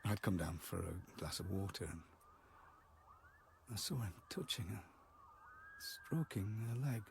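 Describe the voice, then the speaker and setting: An actor playing a haunted, halting man who recalls a wartime childhood in a radio drama. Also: low-pitched, animated, vocal fry, a little hiss or hum, full character voice. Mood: grave, remembering. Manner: halting, fragmented, hesitant delivery.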